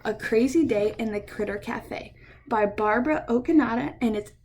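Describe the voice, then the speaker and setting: A woman reading a picture book aloud to children in an expressive way, pausing to ask her listeners questions. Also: a little high, a little hiss or hum. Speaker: read-aloud storyteller for children.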